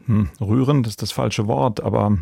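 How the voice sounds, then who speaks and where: A man's voice: lowish voice, steady tone, moderate pace, gravelly, mellow, husky, no room sound, clean studio sound. A man hosting a true crime podcast in a conversational discussion style. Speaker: podcast host.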